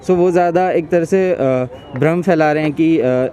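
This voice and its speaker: A man's voice: on the high side, moderate pace, mellow, soft background music. A young man in his twenties, a student answering street interview questions outdoors in a park, earnest and hesitant, mixing English into his Hindi.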